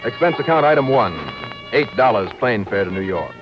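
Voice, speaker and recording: An American man playing a cool, confident insurance investigator in a radio detective drama, with a brisk, wry hardboiled delivery. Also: medium pitch, expressive, close to an everyday voice. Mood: wry, narrating. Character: cool, confident male insurance investigator narrating his own cases. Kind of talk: 1950s-60s radio detective acting. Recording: muffled old radio broadcast with music.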